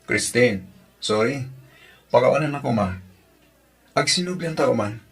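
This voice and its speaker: Guy acting as ilocano suitor, husky mid voice, pleading love drama type. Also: medium pitch, expressive, normal speed. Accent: Filipino, Ilocano.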